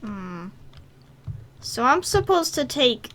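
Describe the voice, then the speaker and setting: A woman's voice: high-pitched, sing-song, slow pace, fairly bright tone, slight background noise. A woman giving casual gameplay commentary, muttering exasperated asides to herself as she plays. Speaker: gaming video host.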